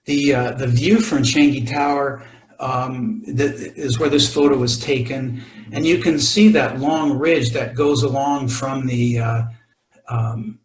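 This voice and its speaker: A man presenting a mountaineering talk over photos, halting and full of fillers and restarts. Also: medium pitch, fairly even intonation, reverberant, low-quality recording. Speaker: expedition climber presenting. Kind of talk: presentation to a club audience.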